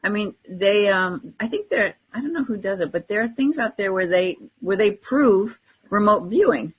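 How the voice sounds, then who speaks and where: A woman's voice: mid-range voice, lively intonation, normal speed, slightly rough. A woman in casual podcast conversation, telling personal anecdotes and acting out bits of dialogue.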